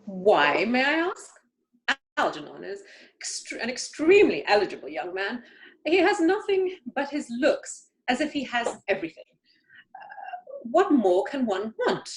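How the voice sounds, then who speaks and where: Woman playing imperious aunt, wildly swooping high voice. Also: very animated, slight background noise, poor audio. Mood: indignant. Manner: haughty, emphatic, halting delivery with stumbles. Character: imperious, snobbish older upper-class aunt, grandly judging everyone. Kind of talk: amateur play read-through.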